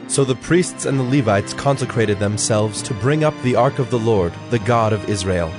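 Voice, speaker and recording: A man giving a formal, measured, solemn narration of scripture. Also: lowish voice. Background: music.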